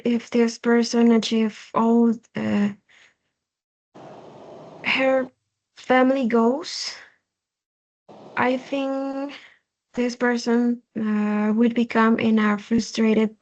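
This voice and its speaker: A woman, a student answering in English on a video call, halting and searching for words. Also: on the high side, a little mellow, low-quality recording.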